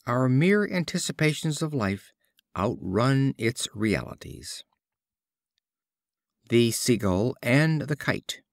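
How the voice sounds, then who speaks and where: A man reading fables as scripted storybook narration, in a measured, expressive storyteller's delivery. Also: mid-range voice, creaky, no echo, clean studio sound. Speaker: audiobook reader.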